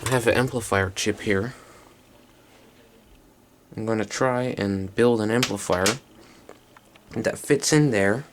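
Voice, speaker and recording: Male hobbyist presenter, lowish gravelly voice, casual and hesitant, unscripted show-and-tell on a home-made recording with slight noise. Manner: hesitant, thinking aloud.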